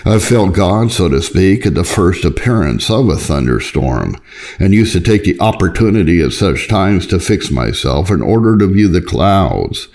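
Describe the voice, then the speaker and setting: A man narrating an old devotional text as a scripted reading, in a measured, earnest and formal manner. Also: deep voice, dark tone. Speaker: audiobook narrator.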